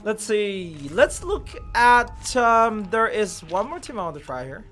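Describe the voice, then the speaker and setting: A man's voice: high-pitched, very animated, a little slow, a bit bright, faint music behind. A man whose first language is Chinese, streaming a game live and talking casually, swearing and exclaiming as he plays.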